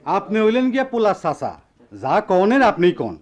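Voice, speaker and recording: A smooth-talking village negotiator in a radio play. He coaxes in a high voice with very lively intonation. He has a rural Bangladeshi accent. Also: normal speed. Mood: coaxing. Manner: coaxing, ingratiating. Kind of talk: Bengali radio play acting.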